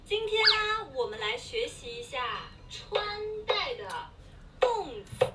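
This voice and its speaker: Woman playing a high-pitched, animated Chinese teacher. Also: measured pace, very noisy, exaggerated voice. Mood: instructive. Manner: instructive, emphatic quizzing. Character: lively female Chinese teacher in a comedy skit. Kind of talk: comic language-lesson skit. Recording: echoey distant playback, loud music behind.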